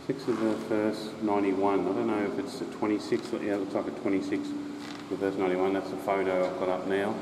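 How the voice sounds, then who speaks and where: A man casually narrating his walk through a stormwater drain, rambling and swearing freely. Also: deep voice. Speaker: drain explorer host. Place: underground stormwater drain tunnel. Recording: echoey tunnel with steady noise.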